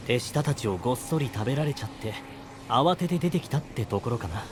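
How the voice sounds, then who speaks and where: A man voicing a gentle, perceptive young male knight in an anime voice drama, calm and encouraging. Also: mid-range voice, brisk, noisy background, natural voice. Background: music.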